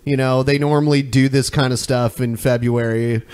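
A man's voice: mid-range voice, fairly even intonation, moderate pace, darkish tone, clear, resonant tone. A man co-hosting a podcast, bantering casually about clips in a blunt, profane way. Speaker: podcast co-host.

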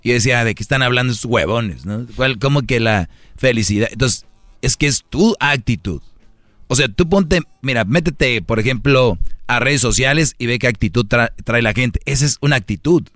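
A man's voice: mid-range voice, normal speed, a bit bright, no echo, clean studio sound. A man hosting a radio show with casual, opinionated, slangy comedic talk.

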